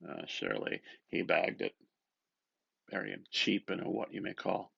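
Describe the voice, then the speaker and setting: A man giving an expressive, theatrical reading of literary prose, lingering over his phrases in a slightly muffled recording. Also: deep voice, moderate pace, creaky, breathy edge, no room sound. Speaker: reader, artist. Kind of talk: dramatic literary reading aloud.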